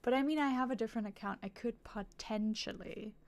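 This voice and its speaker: A woman's voice: on the high side, fairly quick, gravelly. A woman streaming games, chatting casually in a rambling, giggly way that circles back on itself. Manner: rambling, giggly, repeats herself. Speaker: video game streamer. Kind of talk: casual livestream chat.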